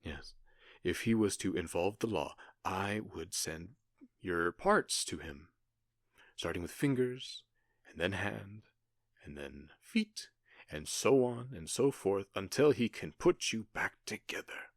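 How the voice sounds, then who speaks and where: Man playing a deep, slow-talking, menacing gang boss. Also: animated, no room sound, studio quality, heavily acted. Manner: theatrical, drawn-out pauses, mock-polite menace. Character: menacing male outlaw gang boss, smug, taunting, cruel. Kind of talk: ASMR roleplay monologue.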